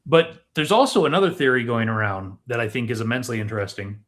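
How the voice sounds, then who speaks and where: A man's voice: medium pitch, fairly bright tone, slight background noise. A man co-hosting a movie discussion show, talking casually and explaining his points to his co-host. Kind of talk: casual film theory discussion.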